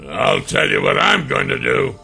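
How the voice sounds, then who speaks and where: A man voicing a gruff, blunt dwarf warrior who growls his words in a fantasy audiobook. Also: medium pitch, animated, moderate pace, sharp tone, soft background music, voice pushed up, heavily acted.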